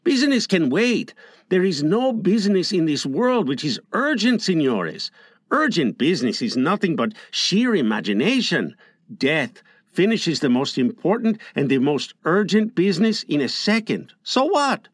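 A man's voice: a little high, very animated, moderate pace, dry recording, clean studio sound, voice pushed up. A man voicing a grateful village father who talks in elaborate, flowery speeches. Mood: effusive.

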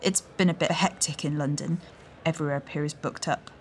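A man voicing a composed mother on the run, calm and reassuring while hiding worry. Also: a little high, brisk, slight background noise, voice pushed up, lightly acted. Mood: breezy. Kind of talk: audiobook narration.

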